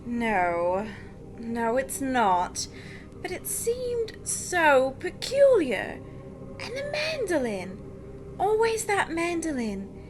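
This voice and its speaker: A fretful high-voiced married lady, wildly expressive. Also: drawn-out words, over music, heavily acted. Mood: anxious, hesitant. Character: anxious, fretful married society lady informant. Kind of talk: melodramatic period radio acting.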